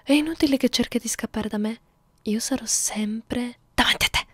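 A woman playing a young, haughty, predatory female vampire in an ASMR roleplay, moving between whispers and soft speech in a condescending, teasing way. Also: a little high, breathy edge, no room sound. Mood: menacing, haughty. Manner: slow, teasing, condescending, menacing. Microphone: close ASMR microphone.

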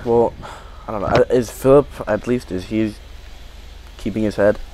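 A man's voice: lowish voice, moderate pace, mellow, close to an everyday voice, poor audio. A man playing a reassuring, hesitant survivor of a zombie apocalypse in an improvised game roleplay. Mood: concerned, casual.

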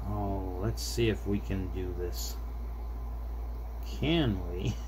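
A middle-aged man talking casually and unhurriedly, half to himself, as he demonstrates a hands-on repair at a desk. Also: sits low. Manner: unhurried, muttering to self while working. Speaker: hobbyist repair video host. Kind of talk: casual hands-on demonstration, narrating as they work. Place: small indoor desk workspace. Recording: faint background noise.

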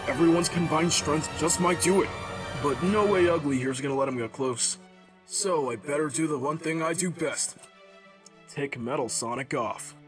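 A man's voice: fairly high pitch, natural voice. A man voicing a cocky, upbeat young hedgehog hero in a comic dub, breezy and teasing. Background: music.

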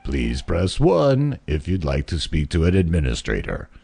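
A man's voice: very deep voice, huge pitch swings, measured pace, creaky, a little mellow, husky, no room sound, exaggerated voice. A man playing a formal phone company operator with scripted customer-service patter. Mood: mock formal.

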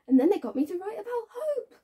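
Woman acting a wounded young woman, breathy and high. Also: full character voice. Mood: anguished. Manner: halting, repeats phrases, swings between hushed and intense. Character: wounded, bitter young woman confronting her past abuser. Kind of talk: dramatic stage monologue, naturalistic acting.

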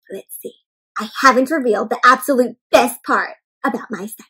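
A young woman doing an interactive read-aloud for children, exclaiming and putting questions to her young listeners in an animated way. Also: on the high side, normal speed, slightly rough. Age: young adult. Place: indoor room with bookshelves.

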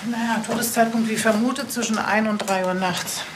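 An actress playing a confident, matter-of-fact forensic pathologist, dry and precise. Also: female voice, on the high side, steady tone, a little fast, noisy background, natural voice.

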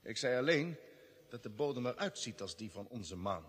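An actor playing a decisive, level-headed leader of a space expedition in a vintage Dutch radio drama. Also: male voice, medium pitch, big pitch swings, normal speed, breathy edge. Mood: calm, reasoning. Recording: old radio recording, long hollow reverb.

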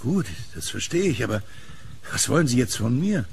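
Man playing an earnest kiosk keeper, gravelly edge. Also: medium pitch, sing-song, moderate pace, a little hiss or hum. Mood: puzzled. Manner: earnest, questioning. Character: puzzled kiosk keeper with keen hearing, earnest. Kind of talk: German audio horror drama acting.

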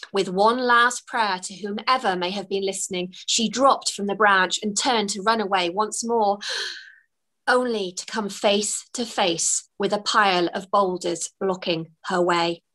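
Bright, expressive storytelling woman. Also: medium pitch. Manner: expressive, with gasps and small laughs. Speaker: children's book author reading aloud. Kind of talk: storybook narration. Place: home room with bookshelves. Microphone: webcam on a video call.

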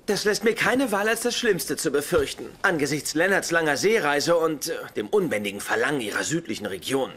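A male actor plays a pedantic, touchy young man in a sitcom dub. He speaks in precise, self-important phrases with very lively intonation. There is clear noise behind him. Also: on the high side, fairly quick, hardly any pauses, lightly acted. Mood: suspicious, earnest. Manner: precise, formal, pompous wording. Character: pedantic, suspicious, easily offended young male roommate. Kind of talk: dubbed sitcom dialogue.

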